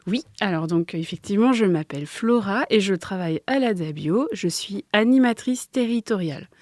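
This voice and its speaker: Woman, mellow tone, interview guest, simple didactic explanations, dry recording. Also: mid-range voice, expressive, moderate pace, dry recording. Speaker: interview guest, agricultural association organiser. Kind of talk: informal radio interview, explaining simply to children. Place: close, dry recording for a radio workshop.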